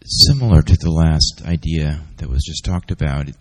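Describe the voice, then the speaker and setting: A man in the audience offering a slow, pausing, reflective comment after a talk. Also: extremely low pitch, fairly bright tone. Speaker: audience member.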